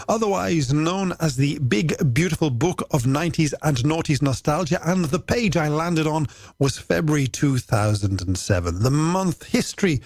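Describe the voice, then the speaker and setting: Playful radio man, very animated pitch. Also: no echo. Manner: playful, theatrical, florid delivery. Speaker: radio presenter. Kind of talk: scripted late-night music radio patter. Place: radio studio. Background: music bed under the voice.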